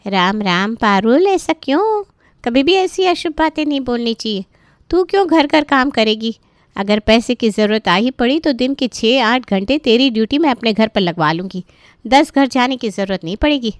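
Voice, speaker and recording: A woman's voice: on the high side, expressive, normal speed, no room sound, close to an everyday voice. A woman voicing an older gossipy friend in a cartoon story, blunt, knowing and chiding. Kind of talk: animated moral story dialogue.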